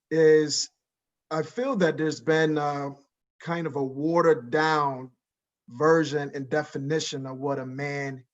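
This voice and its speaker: Middle-aged guy from liberia on a panel, kinda high voice, strained from yelling, muffled lo-fi audio, earnest and deliberate. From Liberia, West Africa. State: strained voice after weeks of yelling. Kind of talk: reflective panel talk. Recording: dull lo-fi call audio.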